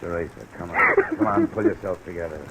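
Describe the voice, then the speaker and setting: An actor playing a world-weary, wry insurance investigator in an old radio detective drama, terse and hard-boiled. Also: male voice, low-pitched, huge pitch swings, brisk, heavily acted. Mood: firm, subdued. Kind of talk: 1950s radio detective acting and narration. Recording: dull, hissy old radio broadcast.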